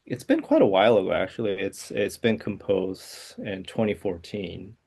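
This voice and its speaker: Male composer, 40s, fry-edged low voice, hesitant and thoughtful. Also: sing-song, moderate pace. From South Korea. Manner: soft-spoken, hesitant, many uh and um fillers. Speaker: guest composer. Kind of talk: interview answers.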